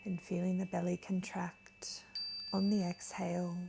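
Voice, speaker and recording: A woman leading a guided meditation in a gentle, soothing, soft voice that is breathy at times. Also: on the low side, completely flat delivery, noisy background. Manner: gentle, soothing, unhurried with long pauses. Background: soft chimes.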